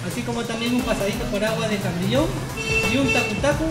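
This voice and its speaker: Middle-aged man restaurant owner, measured and friendly, music bed. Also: very high voice, rough recording. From Peru. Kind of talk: interview. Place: restaurant, indoors. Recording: loud music behind.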